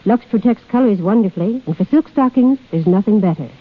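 A woman's voice: mid-range voice, expressive, fairly quick, husky, narrow, tinny audio. A woman guest on a 1930s radio show, formally reading a scripted talk and product endorsement. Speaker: shop hostess, guest giving a product endorsement. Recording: band-limited 1930s radio recording.

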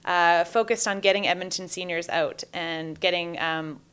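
Upbeat Canadian woman, smooth clear voice. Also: on the low side, fairly even intonation, moderate pace, a bit bright. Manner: upbeat, talkative, laughs easily. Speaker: guest, taskforce co-lead. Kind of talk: casual podcast chat.

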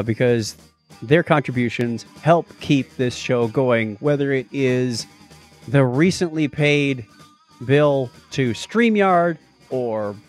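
A middle-aged American man co-hosting a casual tech podcast, chatting and telling stories in a jokey way. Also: mid-range voice. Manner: jokey, animated storytelling. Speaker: podcast co-host.

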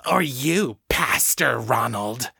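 A man's voice: mid-range voice, lively intonation, takes it slowly, gravelly, sharp tone, breathy edge, dry recording, studio quality, exaggerated voice. A man voicing a teenage girl who puts on a sneering, mocking demon voice. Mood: mocking malice.

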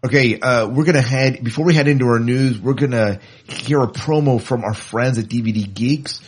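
A man's voice: medium pitch, moderate pace, husky. A man hosting a podcast in a casual, chatty, informal way, often repeating himself. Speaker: podcast host.

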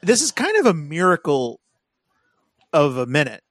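A man hosting a film podcast, casually analytical, with measured and articulate phrasing. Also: on the high side, huge pitch swings, fairly bright tone, no echo, studio quality. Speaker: podcast co-host.

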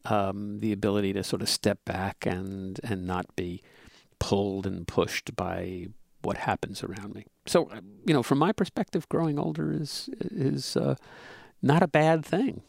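Gravelly man in his seventies. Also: fairly low pitch, steady tone, a little mellow, no room sound, studio quality. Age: 70s. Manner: measured, hesitant, many fillers and restarts. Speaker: podcast co-host. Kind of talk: reflective casual conversation.

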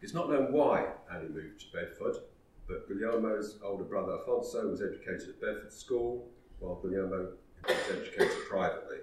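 A man giving a historical talk, telling the story in a measured way. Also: low voice, animated. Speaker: lecturer. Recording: roomy echo, distant mic.

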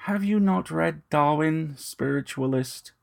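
A man's voice: on the high side, unhurried, vocal fry, pitched above the performer's own voice. A man voicing a corpulent, genteel vampire in an audio story, unctuous, formal and menacing. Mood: grandiose, menacing. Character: corpulent, genteel, predatory male vampire with ragged breath.

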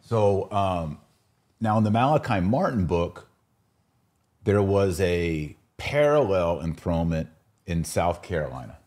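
Deep-voiced man, casual podcast host. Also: lively intonation, unhurried, a little mellow. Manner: informal, slangy. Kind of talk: casual conversational podcast discussion.

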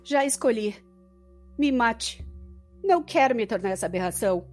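A woman acting a distraught, high-voiced young Brazilian woman. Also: expressive, normal speed, faint music behind, close to an everyday voice. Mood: anguished. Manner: distraught, incredulous. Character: frightened, disbelieving young woman caught among killers. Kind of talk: audio drama acting.

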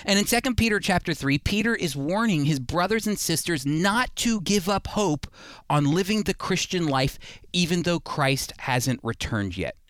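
A man's voice: sits high, lively intonation, normal speed, fairly bright tone, no echo, studio quality. A man preaching a sermon, addressing listeners directly and repeating key points for emphasis. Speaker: preacher and Bible teacher.

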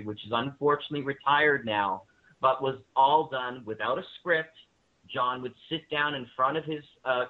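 A man speaking as a guest in a panel interview, talking enthusiastically and emphatically about what he admires. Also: mid-range voice. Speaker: guest, radio drama maker. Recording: thin phone-like line.

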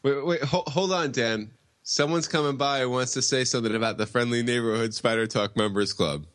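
A man's voice: medium pitch, brisk, fairly bright tone, no room sound, clean studio sound. A man co-hosting a podcast in casual chat, teasing and joking. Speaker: podcast co-host.

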